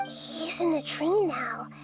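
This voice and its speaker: Very high-pitched, wise little goddess girl. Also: lively intonation, heavily acted. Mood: thoughtful. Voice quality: childlike. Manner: thoughtful, measured, articulate. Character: small childlike goddess girl, wise, composed, gentle. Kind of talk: anime video game voice acting. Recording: music behind the voice.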